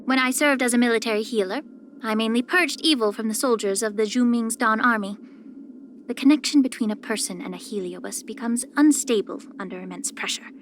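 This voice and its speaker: A woman voicing a poised, soft-spoken, subtly sly young female healer in a video game, with measured, gentle, composed delivery. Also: fairly high pitch, slight background noise, natural voice. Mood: calm, knowing. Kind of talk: scripted character voice lines.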